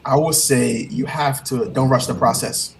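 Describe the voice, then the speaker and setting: A man's voice: mid-range voice, steady tone, normal speed, a little hiss or hum. A man with a Trinidadian accent, a heartbreak coach speaking as a guest in an earnest, motivational way, often repeating his key points. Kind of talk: casual interview talk.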